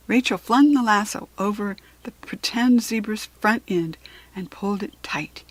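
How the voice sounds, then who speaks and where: A woman with an American accent reading a children's book aloud in expressive narration, with a breathy voice. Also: medium pitch, no echo, poor audio.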